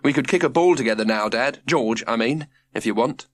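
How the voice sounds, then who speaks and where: A man's voice: mid-range voice, a little fast, no room sound, close to an everyday voice. A man voicing an earnest schoolboy, aggrieved but hopeful, in an audiobook.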